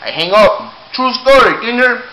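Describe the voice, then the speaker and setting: Guy rambling a wild story, high sharp voice, swears a lot, echoey room with faint hiss. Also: moderate pace. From Cuba. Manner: animated, profane, drops into Spanish words. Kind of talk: rambling comic storytelling to a friend.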